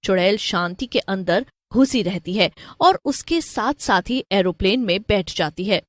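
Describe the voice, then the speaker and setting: A woman's voice: mid-range voice, animated, measured pace, no echo. A woman narrator giving a scripted narration of a cartoon story.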